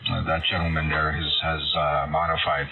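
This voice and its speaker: A man chatting casually and haltingly as an amateur radio operator over a ham radio transmission. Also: a bass voice, little pitch movement, vocal fry. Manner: halting, hesitant delivery. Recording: narrow phone-like radio audio, music-like sound behind.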